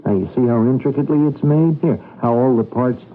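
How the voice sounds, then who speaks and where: Actor as gentle, hesitant man, tinny radio sound. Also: mid-range voice, quick pace, natural voice. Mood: eager, explaining. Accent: American. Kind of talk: old-time radio drama acting. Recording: tinny, noisy old radio recording.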